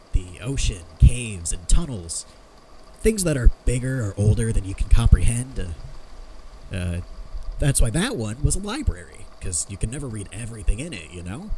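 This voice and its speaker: Eager self-deprecating male inventor actor role, audio drama, lively swooping delivery, soft-edged tone, chatty and rambling. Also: medium pitch, a little hiss or hum, natural voice, low-quality recording. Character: eager amateur inventor explaining his homemade theory of strange phenomena. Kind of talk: fan fiction audio drama, conversational monologue.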